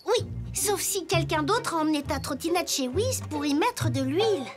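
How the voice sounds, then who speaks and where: A woman's voice: extremely high pitch, animated, moderate pace, music in the background. A woman voicing an earnest, kind little wooden toy boy detective in a children's cartoon. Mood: earnest, inquisitive.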